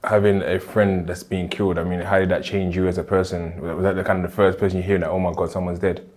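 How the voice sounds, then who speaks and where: A man's voice: low-pitched, level tone, fast talker, a little mellow. A man, hosting a podcast in a casual interview style. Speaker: podcast host.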